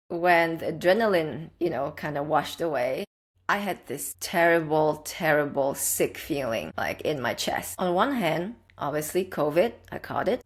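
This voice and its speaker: A young adult woman from the Czech Republic, a guest telling a personal story in an earnest, reflective, conversational way. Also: low voice.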